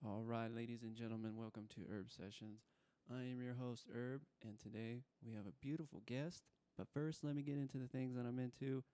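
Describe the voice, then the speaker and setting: A man's voice: mid-range voice, fairly even intonation, fairly quick, hardly any pauses, mellow, clean tone, no echo. A man hosting a casual interview podcast, talking in a laid-back, slangy way. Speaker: podcast host.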